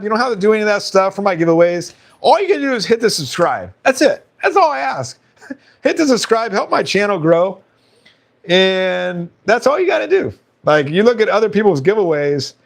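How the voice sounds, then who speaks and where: Guy running a live stream, kinda high voice, chatty, reads chat out loud and laughs a lot, bit hoarse. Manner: chatty, reads out viewer comments, laughs often. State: slightly hoarse.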